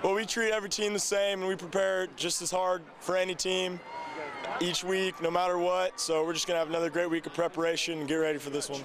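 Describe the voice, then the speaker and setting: A young football player giving steady, composed postgame answers on a stadium field at night. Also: male voice, high-pitched, quick pace. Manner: steady, composed stock phrases. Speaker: high school football player. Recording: crowd noise in open stadium.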